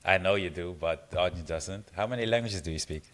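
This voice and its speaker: A man's voice: sits low, brisk. A man hosting a podcast, upbeat and friendly, reading a polished intro and asking questions. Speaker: podcast host.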